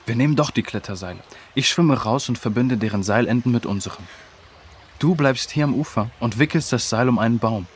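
A man acting as a brave, responsible young man in a children's radio play. He gives decisive instructions in a medium-pitched voice, with faint ambient noise around him. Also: a little fast, natural voice. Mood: urgent, decisive.

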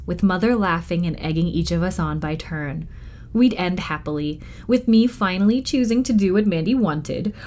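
Low-voiced woman reading a children's story. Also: darkish tone. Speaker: library storytime reader. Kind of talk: expressive children's audiobook-style narration.

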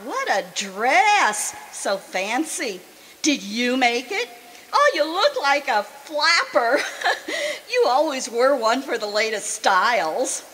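A woman acting in a radio drama as a kindly, excitable former gold-rush saloon girl, with a folksy, gushing manner. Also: sits high, takes it slowly, husky, exaggerated voice, rough recording. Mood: excited.

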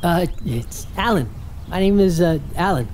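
A woman's voice: lowish voice, lively intonation, measured pace, darkish tone, slight background noise. A woman playing a polite, nervous young man about to turn twenty-one in an audio drama. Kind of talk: radio horror drama.

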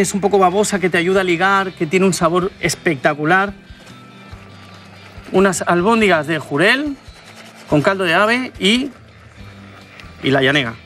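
A lively man in his forties from Spain speaks with a fairly high voice. He hosts a cooking show, explaining each step as he cooks in a studio kitchen, with faint music underneath. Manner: chatty, enthusiastic, explains as he cooks. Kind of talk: cooking demonstration.

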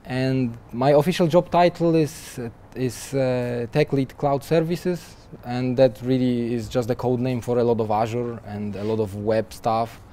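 Mellow-voiced young man, halting and chatty. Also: mid-range voice, steady tone. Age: young adult. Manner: chatty, restarts often with repeated words, laughs lightly. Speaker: guest software developer. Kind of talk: conversational interview answers. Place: conference interview booth, seated in armchair.

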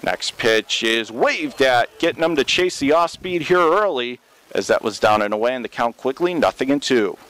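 A young man calling radio play-by-play for a college baseball game, steady and measured, jumping up in pitch on big plays.

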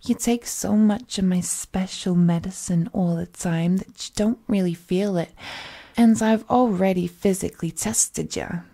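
A woman acts out a possessive, unhinged female kidnapper for a roleplay audio. Her voice is soft-edged and mellow, and she takes her time, coaxing in folksy slang with sweet menace and slipping into breathy whispers. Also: mid-range voice, measured pace. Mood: musing menace. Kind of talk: roleplay audio acting, intimate monologue.

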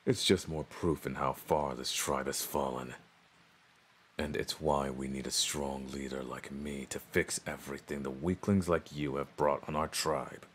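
A man's voice: rumbling low, steady tone, unhurried, a little hiss or hum. A man playing an arrogant, domineering orc who would be chief, speaking with pompous menace in a roleplay audio drama. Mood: demanding.

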